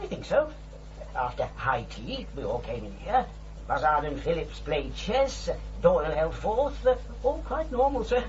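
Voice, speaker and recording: An actor playing a fussy, snobbish old retired butler in a radio drama, with a deferential, gossipy manner. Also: male voice, a little high, big pitch swings, a little slow. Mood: careful, deferential. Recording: dull, noisy old radio recording with hum.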